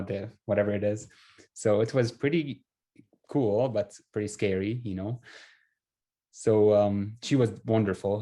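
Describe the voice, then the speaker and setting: A young man from Syria speaks English. His voice is fairly low and very mellow. He tells his own story conversationally, with a modest, self-effacing manner. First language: Arabic. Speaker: refugee telling his life story. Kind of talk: casual personal storytelling.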